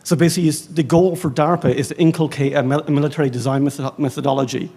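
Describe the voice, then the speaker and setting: An Irish man speaking as a librarian on a conference panel, rambling and animated, with many fillers and self-mocking asides. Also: a little high, fast, creaky, husky. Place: conference stage.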